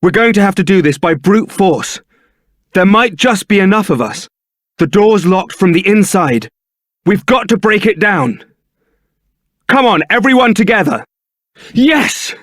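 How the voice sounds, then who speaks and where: An actor playing a young templar knight in a video game, suave, wry and brooding, with a theatrical, articulate delivery. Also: male voice, high voice, lively intonation, measured pace, long pauses, fairly bright tone, dry recording, clean studio sound. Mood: alarmed, urgent. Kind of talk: video game voice acting, dramatic scripted dialogue.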